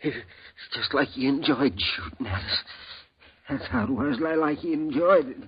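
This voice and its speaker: An actor playing a shaken, wounded frontier townsman with rough country grammar in a 1950s radio drama. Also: medium pitch, normal speed. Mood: bitter. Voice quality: husky, ungrammatical country speech. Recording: dull old radio broadcast.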